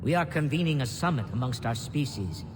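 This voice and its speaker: A man's voice: medium pitch, animated, a little fast, close to an everyday voice. An actor playing a haughty, skeptical male alien councilor in a game, formal and dismissive. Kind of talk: dramatic game dialogue. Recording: reverberant chamber, clear background noise.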